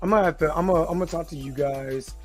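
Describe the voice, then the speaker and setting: A man with a slightly hoarse voice hosting a livestream, talking casually in an informal, rambling, hesitant way. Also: a little high, rapid-fire, faint music behind. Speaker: host of a livestream reaction show.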